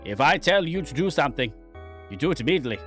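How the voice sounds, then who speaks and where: A cruel, bullying submarine officer acted by a man in a horror game reading, scornful and commanding. His pitch jumps sharply as he orders and insults, with music behind him. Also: big pitch swings, fast talker, a bit bright. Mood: menacing. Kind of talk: dramatic reading of a horror visual novel.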